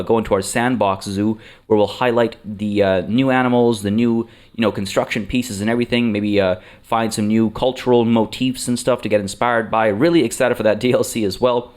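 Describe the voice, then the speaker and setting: A man's voice: mid-range voice, darkish tone. A man hosting a let's play, chatting in a rambling way with many fillers and restarts, slightly under the weather. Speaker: gaming channel host.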